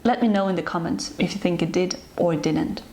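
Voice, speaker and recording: A young woman from Ukraine, hosting her own channel, talking to her viewers about herself in an unhurried, earnest, slightly hesitant way. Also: on the low side, a little mellow, a little hiss or hum. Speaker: channel host. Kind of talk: personal talk to camera.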